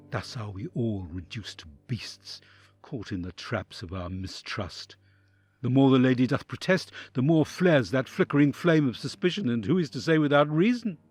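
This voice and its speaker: A man's voice: medium pitch, no room sound. A man playing a troubled, fearful and sympathetic envoy in an audio drama, delivering an intense, reflective interior monologue in theatrical style. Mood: disturbed, pleading. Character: troubled male envoy, sympathetic, fearful, inwardly agonised listener.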